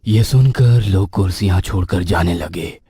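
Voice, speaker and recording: Read this horror story as a male narrator: keep it low-pitched and rough-edged. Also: moderate pace, dark tone, no echo, clean studio sound.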